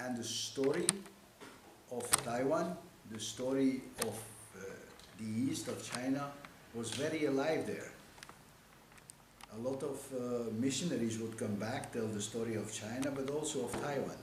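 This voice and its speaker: A man in his seventies from Belgium with a Flemish accent, a native Dutch speaker, telling history in an interview in a halting, repetitive way as a missionary priest. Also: mid-range voice. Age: 70s. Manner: halting, repeats phrases and affirmations. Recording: echoey room with background hiss.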